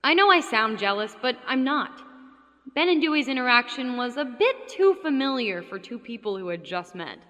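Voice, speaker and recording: A woman's voice: a little high, very animated, moderate pace, sharp tone, long reverb tail. A woman playing a scheming, jealous wife in a horror audio drama, with a hard-edged, sardonic delivery. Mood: jealous, suspicious. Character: scheming, jealous wife narrating her dark confession. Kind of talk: audio drama narration and dialogue.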